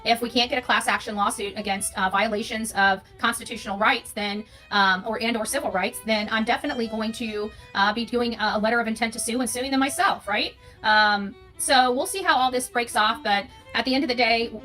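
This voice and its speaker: A woman's voice: fairly high pitch, fairly quick, pushed and bright, faint music behind. A woman with an American accent giving an unscripted, opinionated monologue as an online creator, emphatic and repeating phrases for stress. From United States.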